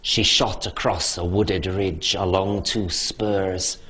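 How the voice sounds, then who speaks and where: Man, poetry recitation, measured rhythm, low voice, unhurried. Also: fairly even intonation, a bit bright, husky. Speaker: poetry reader.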